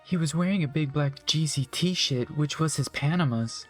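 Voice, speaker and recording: A mid-pitched narrator reads a story theatrically, as a comic dramatic reading. The delivery drops into deadpan asides, with faint music behind. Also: moderate pace.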